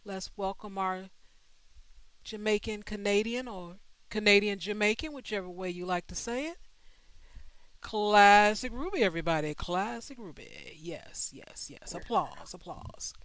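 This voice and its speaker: Easygoing woman host, smooth dry voice. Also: mid-range voice, expressive, measured pace, fairly bright tone, no room sound. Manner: easygoing, conversational, many fillers. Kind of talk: casual livestream chat.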